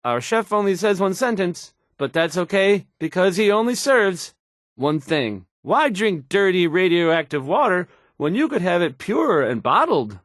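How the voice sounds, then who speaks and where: An insecure, fretful young radio host from a video game. He hesitates, stammers and trails off, his pitch leaping about nervously. Delivery is slow and halting, recorded dry. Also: very animated, dry recording. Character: timid, awkward young male radio host, anxious and insecure.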